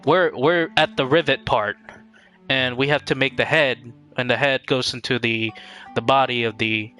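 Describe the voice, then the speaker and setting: A man giving casual live-stream game commentary in a halting, rambling way, often repeating words as he goes. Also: medium pitch, fairly even intonation, pushed and bright, soft background music.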